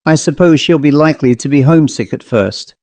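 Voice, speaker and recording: A man's voice: on the high side, brisk, a little mellow, no echo, natural voice. A man voicing an earnest, anxious country woman, an aunt in a storybook, hesitant yet insistent. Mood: wistful.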